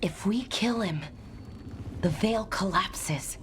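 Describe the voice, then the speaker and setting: Freed captive mage played by a woman for a game: medium pitch, slow, halting, earnest, slight background noise. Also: lightly acted. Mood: grave, pleading. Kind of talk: dramatic game cutscene acting. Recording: faint ambient noise behind the voice.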